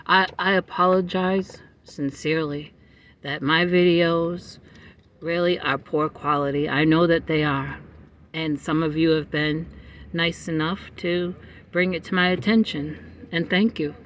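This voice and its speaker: A man's voice: fairly high pitch, fairly even intonation, a bit bright, a little hiss or hum. Chatty middle-aged man vlogging from his car. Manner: chatty, meandering, frequent um pauses. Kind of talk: casual rambling chat to viewers. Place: parked car in a parking lot. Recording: slightly distant phone mic with faint ambience.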